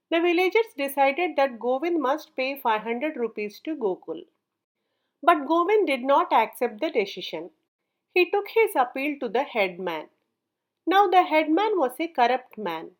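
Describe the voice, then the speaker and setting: A woman narrating a children's story from a script in a measured, clearly enunciated read-aloud manner. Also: high voice.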